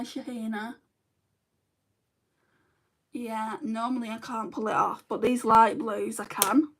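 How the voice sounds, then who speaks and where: Gravelly northern English woman chatting. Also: on the high side. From northern England. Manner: chatty, self-deprecating, mutters to herself while working. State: in back pain. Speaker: makeup livestream host. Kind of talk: casual makeup livestream chat, reading comments.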